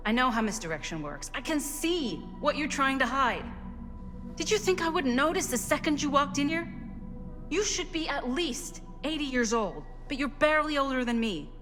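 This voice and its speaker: A woman playing a tough, skeptical, sharp-tongued character in a video game, in a naturalistic, sardonic way. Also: high-pitched. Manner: blunt, sardonic.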